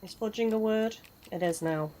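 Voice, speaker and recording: A woman's voice: mid-range voice, normal speed, warm tone, slight background noise. Chatty woman streaming a game. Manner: chatty, rambling, chats with viewers. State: losing her voice. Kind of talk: live gameplay commentary.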